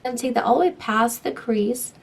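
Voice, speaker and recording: A woman's voice: fairly high pitch, moderate pace, gravelly. Young woman with a cold, chatty. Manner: chatty, enthusiastic, talks while applying makeup. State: voice affected by a cold. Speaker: beauty vlogger. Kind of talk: casual makeup tutorial talk.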